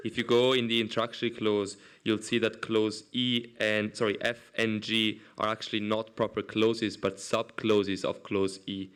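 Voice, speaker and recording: Clear-voiced man chairing a debate. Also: on the low side, a bit bright. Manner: brisk, procedural, prone to restarts.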